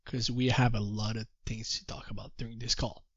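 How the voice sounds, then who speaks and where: A man with a French Canadian accent, a native French speaker, presenting to a group call in halting English and telling his story with repeated refrains. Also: lowish voice, flat delivery, breathy edge, no echo, muffled recording. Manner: self-deprecating, halting English. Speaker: trading course presenter.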